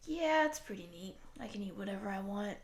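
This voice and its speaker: Woman voicing a goofy, chatty, creaky-voiced young slime girl. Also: mid-range voice, animated, fairly quick, close to an everyday voice. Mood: enthusiastic, carefree. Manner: casual slangy chatter with giggles. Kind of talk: roleplay audio aimed at the listener.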